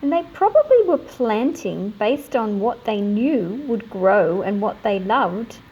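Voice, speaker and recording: A woman's voice: medium pitch, expressive, slow, warm tone, highs cut off. Australian woman reading to children. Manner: explanatory, simple clear phrasing for young listeners. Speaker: read-aloud host for children. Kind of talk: picture book read-aloud with explanations for children.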